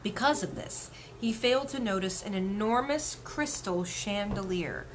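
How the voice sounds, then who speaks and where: Female story reader: expressive, animated intonation, children's read-aloud style, faint background noise. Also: medium pitch, unhurried.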